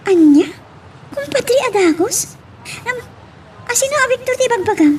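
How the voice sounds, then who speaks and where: A woman acting a gentle, questioning young wife and daughter in an Ilocano radio-style drama. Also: extremely high pitch, lively intonation, moderate pace, a little hiss or hum. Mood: doubtful.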